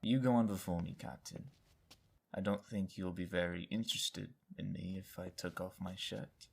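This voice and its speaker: A woman's voice: rumbling low, fairly even intonation, moderate pace, vocal fry, darkish tone, a little hiss or hum. A woman playing a sardonic, flirtatious rogue in an audio drama, drawling and teasing.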